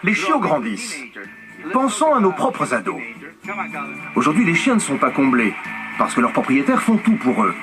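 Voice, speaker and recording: A man's voice: a little high, huge pitch swings, normal speed, long pauses. A middle-aged man dubbing a documentary subject in an earnest, heartfelt voice-over. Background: music.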